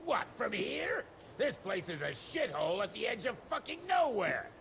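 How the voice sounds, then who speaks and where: A gravelly, cursing bureaucrat. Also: male voice, high voice, very animated, moderate pace, a little hiss or hum, exaggerated voice. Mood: scornful. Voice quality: gravelly, profane, shouting. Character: foul-mouthed furious male government secretary. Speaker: cutscene character.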